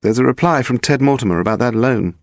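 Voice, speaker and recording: A male reader plays a brisk woman secretary in an audiobook. The voice is lifted above his own, crisp and deadpan with a cutting coolness. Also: medium pitch, no room sound, studio quality, voice pushed up, natural voice. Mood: businesslike. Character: cool, brisk female secretary, softly spoken and cutting.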